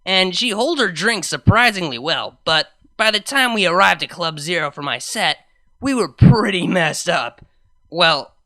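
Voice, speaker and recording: A woman's voice: fairly low pitch, fairly bright tone. A woman voicing a brash young unicorn nightclub DJ, telling a fan fiction story in chatty, slangy, sardonic asides. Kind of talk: first-person fan fiction narration.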